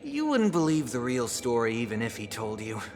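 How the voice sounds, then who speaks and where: An actor playing a melancholic, refined young man in a game cutscene, speaking in measured, poetic, wistful phrases. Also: mid-range voice, wildly swooping pitch, a little hiss or hum. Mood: rueful. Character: melancholic young male fallen idealist, refined and soft-spoken. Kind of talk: dramatic video game cutscene acting. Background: music.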